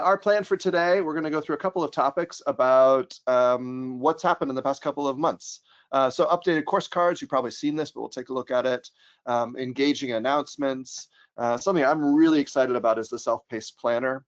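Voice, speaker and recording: Casual American man leading a webinar. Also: medium pitch. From United States. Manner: casual, many ums and restarts, upbeat when introducing topics. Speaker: trainer and pedagogical consultant. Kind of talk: webinar software walkthrough. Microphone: computer mic on a video call. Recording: slightly dull webinar audio.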